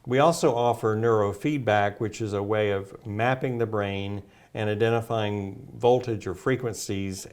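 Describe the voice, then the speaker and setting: A man's voice: lowish voice, steady tone, moderate pace, hardly any pauses, a little mellow. A man speaking as a naturopath guest, explaining at length with many fillers and restarts. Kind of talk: casual health talk.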